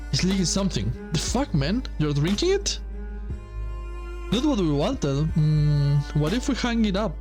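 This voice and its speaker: A man's voice: high voice, sing-song, slow, long pauses, darkish tone. A man reading a visual novel aloud and commenting as he plays. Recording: music behind the voice. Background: game music.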